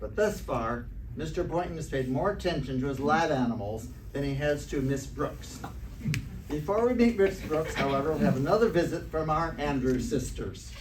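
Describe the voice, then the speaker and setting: A man's voice: fairly high pitch, animated. Male announcer, distant in a roomy hall. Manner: theatrical, measured, emphatic announcer delivery. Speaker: announcer introducing a stage radio show. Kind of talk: old-time radio announcing. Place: live theater performance, picked up from a distance. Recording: roomy, distant stage recording with background noise.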